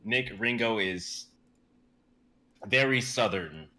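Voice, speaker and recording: A man's voice: lowish voice, lively intonation, slow pace, bright, forceful tone. A man introducing a script reading and its cast, making rambling self-correcting asides. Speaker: reader of stage directions. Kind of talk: casual chat, then introducing a read.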